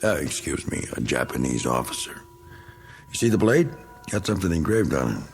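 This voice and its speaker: An actor playing a bitter, self-pitying middle-aged American war veteran in a radio drama, talking in a folksy, rambling, needling way. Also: male voice, a bass voice, sing-song, brisk, faint music behind. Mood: probing, restless.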